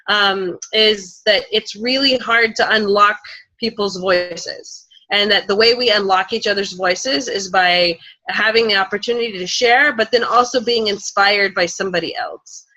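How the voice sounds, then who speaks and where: Bright-voiced woman panelist. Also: mid-range voice, moderate pace, low-quality recording. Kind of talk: spontaneous panel discussion.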